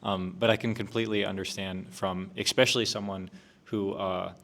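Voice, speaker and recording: A man's voice: low voice, flat delivery, normal speed, vocal fry, a bit bright. A young man, chatting casually on a student panel, talking with many fillers and restarts. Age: young adult. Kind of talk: casual discussion.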